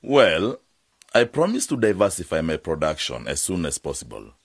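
An actor playing an earnest, persuasive farm owner with a husky voice in a radio drama. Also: male voice, low-pitched, big pitch swings, normal speed, dry recording, studio quality, exaggerated voice. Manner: insistent, persuasive. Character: earnest farm owner and peanut producer bargaining for help.

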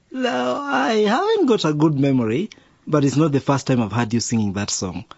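A man's voice: fairly high pitch, very animated, normal speed, creaky, slightly rough, dry recording, muffled recording, full character voice. An actor playing an affectionate, chuckling middle-aged father and policeman in a radio drama. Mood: tender.

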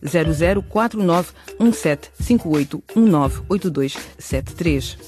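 A man narrating and presenting a radio drama in a clear, measured announcing style. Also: fairly high pitch, expressive, darkish tone, faint music behind. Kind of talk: scripted narration.

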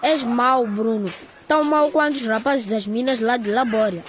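A woman's voice: a little high, normal speed, natural voice. A woman playing a young boy, blunt and caring, in a radio drama. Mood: reproachful. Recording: noisy track, chirping insects.

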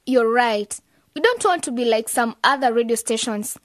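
A woman's voice: on the high side, lively intonation, moderate pace, dry recording, natural voice. An actress playing a confident, idealistic young woman leading a youth club in a radio drama, with a persuasive, upbeat manner. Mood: matter-of-fact.

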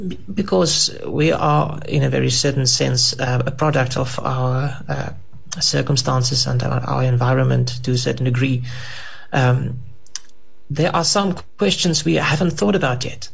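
A man in ministry speaking in a halting way, filling gaps with many ums and uhs. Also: medium pitch, fairly even intonation, vocal fry, slightly rough. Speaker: pastor. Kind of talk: panel discussion.

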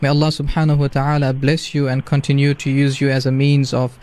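A man's voice: medium pitch, monotone, brisk, a little mellow. A man with a South African accent, hosting a radio interview in a courteous, formal way. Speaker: radio host.

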